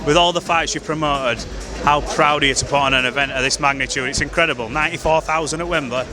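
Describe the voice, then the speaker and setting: A man interviewing a boxing promoter outdoors, asking brisk, eager questions. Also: fairly high pitch, steady tone. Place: outdoors at a busy stadium event. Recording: music playing behind.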